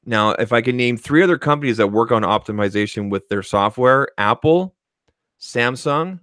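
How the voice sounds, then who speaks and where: A man's voice: mid-range voice, moderate pace, a bit bright, clear, resonant tone, no room sound. A man co-hosting a tech podcast in casual chat, emphatic and opinionated, often tacking on "okay" as he makes his points into a studio microphone.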